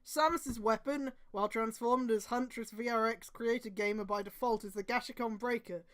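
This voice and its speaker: A woman's voice: a little high, fairly even intonation, moderate pace. A woman streamer reading fan fiction aloud, with sweary, exasperated commentary and emphatic delivery. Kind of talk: mocking read-aloud with casual commentary.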